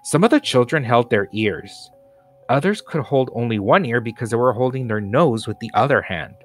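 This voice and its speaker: A man's voice: mid-range voice, animated, normal speed, soft background music. A man narrating a children's storybook aloud as a scripted reading. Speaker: storybook reader.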